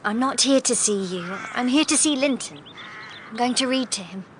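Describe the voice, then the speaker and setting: An actress playing a spirited, wilful teenage girl of the gentry in a period audio drama, impetuous and expressive. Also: fairly high pitch, normal speed, noisy background, natural voice. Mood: haughty.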